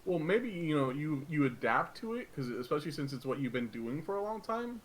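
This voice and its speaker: A man talking bluntly and swearing casually in a relaxed online group chat. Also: a little high, brisk, rough recording. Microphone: online call.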